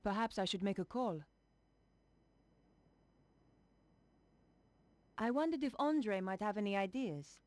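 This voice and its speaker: Determined young female journalist, earnest, medium-pitched, dry studio sound, game dialogue played by an actress. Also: animated, a little fast, no room sound, close to an everyday voice. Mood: pensive. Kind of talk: video game voice acting, narration and dialogue.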